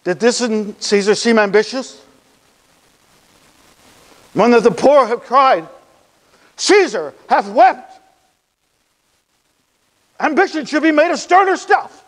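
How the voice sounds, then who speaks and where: In a long, reverberant hall, an impassioned Roman funeral orator in a classical stage monologue. His voice sits extremely high for a man and moves at a slow, deliberate pace. He declaims with weighted pauses, mixing grief with bitter irony. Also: animated, exaggerated voice. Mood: grieving, ironic.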